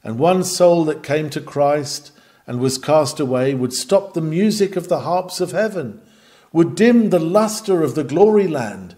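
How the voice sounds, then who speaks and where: A man reading an old-fashioned sermon aloud in an earnest, oratorical manner with emphatic pauses. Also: a little high, animated, mellow. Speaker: sermon reader. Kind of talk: dramatic read-aloud of a Victorian sermon.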